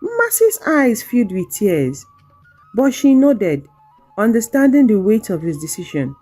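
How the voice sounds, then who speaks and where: A woman narrating a scripted folktale in a measured, dramatic storytelling manner. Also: medium pitch, sing-song, darkish tone, slightly rough, soft background music.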